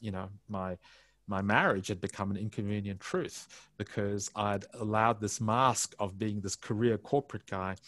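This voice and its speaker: A man's voice: on the low side, a bit bright, dry recording. A man in his fifties from India with an Australian accent, telling his life story candidly over a headset on a video call from his home study, reflective and full of restarts. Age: 50s. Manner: reflective, frequent restarts and filler phrases. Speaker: interview guest.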